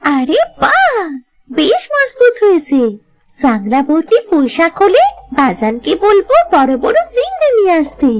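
A woman's voice: very high-pitched, huge pitch swings, a little slow, exaggerated voice. A woman voicing a talkative, cheeky little village girl in a cartoon. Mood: dreamy, pleased. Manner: talkative, answers back. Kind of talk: cartoon voice acting.

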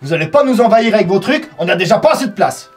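A man's voice: high voice, very animated, rapid-fire, soft background music. An actor in his forties playing a short-tempered, sarcastic medieval warrior in a comedy sketch, recorded on a stone cellar set. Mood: exasperated. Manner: mock-friendly, then sarcastic and insulting, shouting at times. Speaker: warrior who owns the wine cellar.

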